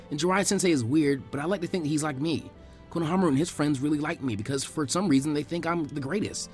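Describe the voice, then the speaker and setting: Guy doing a cocky kid ninja, pitched up, upbeat n informal, fan fiction story. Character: brash, eager, cocky young ninja boy. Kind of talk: acted dialogue in fan fiction audiobook.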